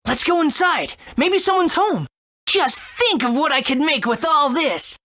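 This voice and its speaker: High-pitched, eager young boy. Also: measured pace. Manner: earnest, excitable. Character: earnest, eager young boy adventurer and inventor. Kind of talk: video game voice acting.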